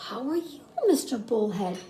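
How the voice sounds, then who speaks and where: A woman's voice: on the high side, animated, moderate pace, dark tone, lightly acted. A woman voicing a kind, polite father seahorse in a storybook, encouraging and reassuring. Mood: friendly greeting. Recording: roomy echo, faint background noise.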